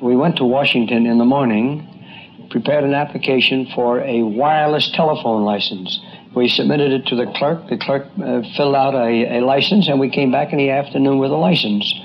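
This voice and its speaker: A man's voice: medium pitch, fairly quick. A man, a radio engineer, giving a plain, factual and slightly hesitant reminiscence. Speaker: radio station chief engineer. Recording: dull, faintly noisy archive interview.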